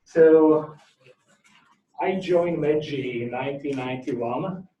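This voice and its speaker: A man's voice: a little high, takes it slowly, highs cut off, slight background noise. Distant, echoey middle-aged Slovak-accented man lecturing. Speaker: academic speaker, researcher. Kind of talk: informal conference talk with reminiscences. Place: indoor lecture room at a workshop. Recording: echoey room, distant microphone.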